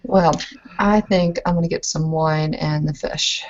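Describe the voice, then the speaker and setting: A woman's voice: sits low, steady tone, unhurried, a little mellow, muffled recording. A woman in a tabletop roleplaying game, casually speaking as her character and narrating its actions.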